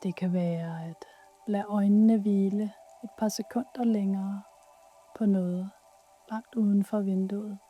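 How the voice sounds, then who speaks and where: A woman leading a guided yoga nidra meditation in a soothing, soft, unhurried way with pauses. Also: medium pitch, fairly even intonation, slightly rough, muffled recording, soft background music.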